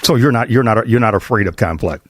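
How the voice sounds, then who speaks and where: A middle-aged man hosting a roundtable conversation as a pastor, speaking deliberately and haltingly, often repeating his words. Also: on the low side, animated, no echo, clean studio sound. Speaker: host, pastor.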